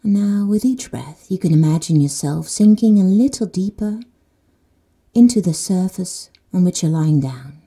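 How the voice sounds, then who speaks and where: A woman guides a sleep meditation in a very mellow, breathy voice set slightly low. She speaks slowly and soothingly and sometimes drops to a hushed whisper. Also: expressive. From Netherlands. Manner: slow and soothing, dropping to soft whispers.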